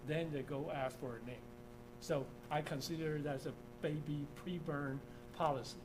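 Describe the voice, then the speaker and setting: A man from Taiwan giving an informal engineering lecture over slides, often checking in with "okay?" and filling pauses with "uh". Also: fairly high pitch. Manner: informal, repeats "okay?", many "uh" fillers. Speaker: engineering professor. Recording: steady background hum.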